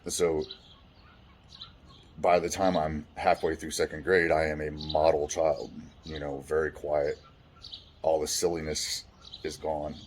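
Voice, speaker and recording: A man with a deep, resonant voice telling his life story frankly, swearing casually and restarting often. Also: flat delivery, a little slow, long pauses, slight background noise. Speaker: vlogger. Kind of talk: candid personal storytelling.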